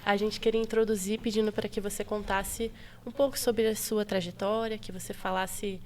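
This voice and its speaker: A woman's voice: mid-range voice, level tone, moderate pace, a little mellow, slight background noise. A woman hosting a student podcast, welcoming and introducing a guest in a friendly way. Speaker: podcast host, student association president.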